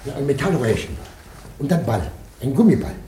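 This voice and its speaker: A man acting a courteous, concerned bank official in a radio drama. His mellow voice swings expressively in pitch and echoes around the room. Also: big pitch swings, a little fast, lightly acted. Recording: echoey room, faint noise.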